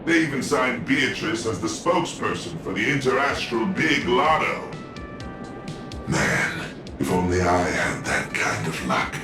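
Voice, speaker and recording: Breezy patrol guide, lively voice, slow delivery, roomy distant sound with music. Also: male voice, on the low side. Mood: chatty. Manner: laid-back, breezy. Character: easygoing young male patrol guide. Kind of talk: game voice acting.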